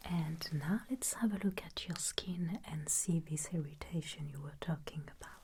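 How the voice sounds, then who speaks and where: A woman whispering softly and breathily in an ASMR roleplay as a gentle, reassuring examiner who takes her time. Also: low-pitched, normal speed, slight background noise. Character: gentle, attentive ear and skin examiner. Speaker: ASMR performer playing a caregiver.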